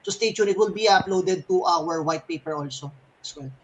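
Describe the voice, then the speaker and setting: A man's voice: high voice, fairly quick. A man speaking casually and hesitantly as a game developer answering questions in a live session. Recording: faintly noisy livestream audio.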